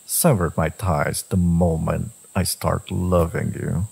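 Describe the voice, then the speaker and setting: Villain love interest for romance audio drama, male actor: gravelly, unhurried, possessive, intense. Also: deep voice, flat delivery, a little mellow, full character voice. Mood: intense, devoted. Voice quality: husky, gravelly. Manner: slow, deliberate, intimate, intense. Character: brooding, devoted, possessive male villain lover.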